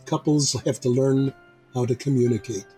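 A man in his eighties speaking sincerely and reflectively, in a measured way, about his own life. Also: mid-range voice, steady tone, dark tone, soft background music. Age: 80s. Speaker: discussion participant. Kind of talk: reflective personal reminiscence.

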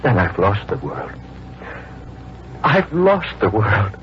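A man playing a weary, anguished farmer who speaks haltingly and with distress, in 1940s radio drama style. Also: on the low side, huge pitch swings, heavily acted. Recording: muffled, noisy old radio broadcast.

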